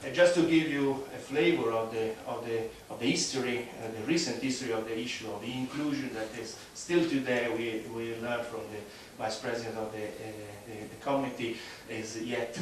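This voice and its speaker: A man giving a conference presentation, speaking haltingly with many fillers and restarts. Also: mid-range voice, fairly even intonation, a little hiss or hum. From Italy. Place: large conference hall. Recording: echoey hall, speaker far off.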